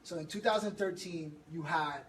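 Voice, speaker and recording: High-voiced energetic male fitness coach. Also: moderate pace. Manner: energetic, hypes people up, laughs often. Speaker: fitness coach hosting a group session. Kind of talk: motivational group talk. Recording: roomy, from far off, noisy.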